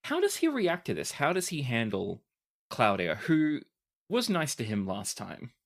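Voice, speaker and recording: A game master narrating a tabletop game with deliberate, dramatic scene-setting. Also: medium pitch, wildly swooping pitch, creaky.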